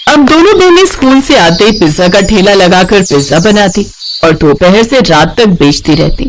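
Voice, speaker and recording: A woman's voice: mid-range voice, expressive, normal speed, a little hiss or hum, poor audio. A woman narrating a scripted children's cartoon story as a storyteller.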